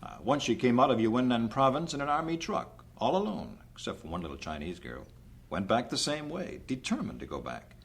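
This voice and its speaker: A man playing an earnest, confident bishop who directs a mission, speaking in a measured, persuasive way in an old-fashioned radio drama. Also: mid-range voice, big pitch swings, creaky. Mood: admiring, assured.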